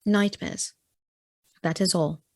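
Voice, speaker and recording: A young actress playing a reserved, melancholy gentlewoman in a radio play, speaking in a measured, formal and guarded way. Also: lowish voice, fairly even intonation, no room sound, studio quality. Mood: reluctant. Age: young adult.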